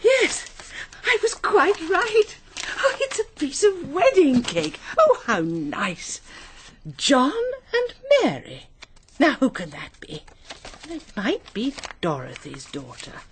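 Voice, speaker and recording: An actress playing a nervous, chatty, genteel middle-aged English spinster companion in a radio drama, with a prim, effusive manner. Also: female voice, high voice, wildly swooping pitch, slow pace, a little hiss or hum, exaggerated voice. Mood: chatty, pleased.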